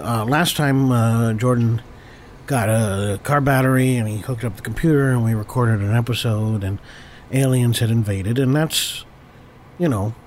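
A hoarse man with a cold hosts a comedy podcast, stumbling over his words and doubling back on himself. His pitch sits in the middle range. A faint hiss runs under the recording. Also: darkish tone. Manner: rambling, stammering, self-correcting. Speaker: podcast host.

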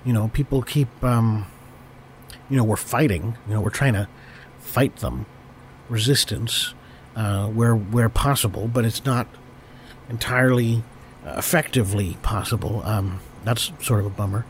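A man with a cold, hoarse and stammering, hosting an improvised comedy podcast and constantly correcting himself. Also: fairly low pitch, a little slow, a little hiss or hum. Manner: rambling, stammering, self-correcting. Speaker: podcast host.

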